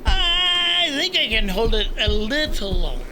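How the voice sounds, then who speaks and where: A man's voice: very high-pitched, sing-song, unhurried, loud music behind the voice, full character voice, poor audio. A man playing a bumbling, self-important space captain in a sci-fi comedy audio drama, flustered and blustering, with faint noise behind.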